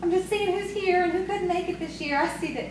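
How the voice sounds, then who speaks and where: A woman's voice: very high-pitched, quick pace. A woman playing a coy, teasing, hospitable belle in audio theatre, with a gracious, flirtatious manner. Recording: very echoey, distant, noisy room.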